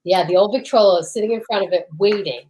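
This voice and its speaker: A woman's voice: mid-range voice, quick pace, a little mellow, slight background noise. A woman co-hosting an old-time radio podcast, chatting casually and introducing the show in a gushing, theatrical way.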